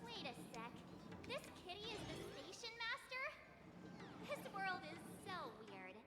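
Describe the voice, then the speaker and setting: Very high, lively game girl. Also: measured pace, roomy sound, over loud music, heavily acted. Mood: amazed. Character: excitable young girl traveler, curious and easily amazed. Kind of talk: anime game voice acting.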